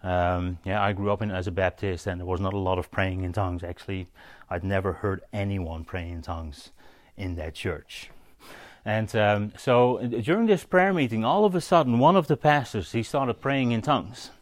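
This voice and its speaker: A man giving an informal, story-led sermon as a preacher, halting and conversational, often checking in with his listeners. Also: on the low side, dry recording, studio quality. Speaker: preacher teaching on spiritual gifts.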